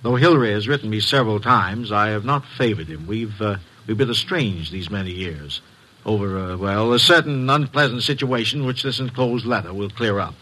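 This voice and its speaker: An actor playing a formal, guarded, well-to-do middle-aged gentleman in a radio drama, courtly and emphatic. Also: on the low side, moderate pace, poor audio. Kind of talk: old-time radio drama acting.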